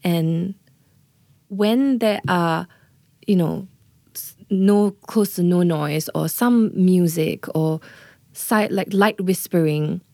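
A woman's voice: lowish voice, takes it slowly, pauses often, a little mellow, no echo. A woman working as a doula, explaining birth in an earnest interview manner and often checking in with "right?" and "you know". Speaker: doula, guest.

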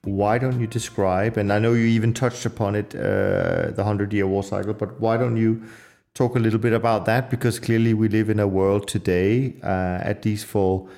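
A man hosting a podcast interview, talking hesitantly with many pauses and restarts. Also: fairly low pitch, fairly even intonation, darkish tone, faint music behind. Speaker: podcast host. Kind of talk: casual interview.

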